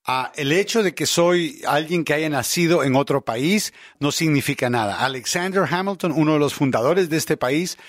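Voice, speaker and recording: A man's voice: fairly high pitch, moderate pace, hardly any pauses, no room sound, studio quality. Emphatic Guatemala-born man, talk co-host. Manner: emphatic, repeats points for force. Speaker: talk show co-host, lawyer. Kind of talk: casual radio talk show discussion.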